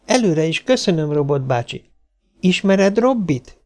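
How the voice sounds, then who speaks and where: Man doing a high-pitched earnest little girl. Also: big pitch swings. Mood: eager, polite. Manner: childlike, eager, explanatory.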